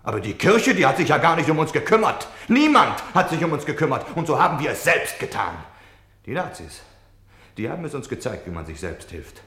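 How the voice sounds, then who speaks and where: A man's voice: fairly high pitch, very animated, brisk, pushed and bright, echoey room, heavily acted. A man playing a defensive, self-pitying Nazi official on wartime leave in a German radio play, justifying himself between subdued confession and indignant outbursts. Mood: agitated, bitter.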